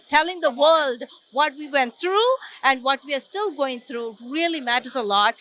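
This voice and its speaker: Woman from sri lanka, high bright voice, earnest, faint background noise. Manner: earnest, grateful. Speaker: cultural consultant and executive producer. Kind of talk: event interview answer.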